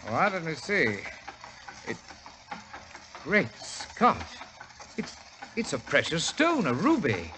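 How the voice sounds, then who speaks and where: An actor playing a blustering, flustered middle-aged English doctor in a 1940s radio drama, with a British accent. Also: male voice, on the high side, wildly swooping pitch, takes it slowly, pauses often, sharp tone, exaggerated voice. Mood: startled, wary. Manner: blustery, indignant, stammering. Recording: old radio recording with faint hiss.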